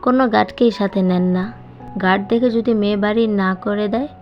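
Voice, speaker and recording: A woman narrates a dramatic novel in a scripted storytelling style. Her voice sits at a medium pitch. Music runs behind her, and the recording sounds a bit muffled. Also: fairly even intonation, moderate pace. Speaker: audiobook narrator.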